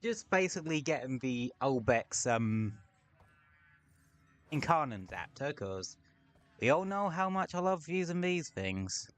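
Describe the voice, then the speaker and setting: A man's voice: fairly high pitch, big pitch swings, measured pace, a bit bright. A man streaming a game, talking casually in rambling, sweary asides at home. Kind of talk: casual gameplay commentary. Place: home room with window open.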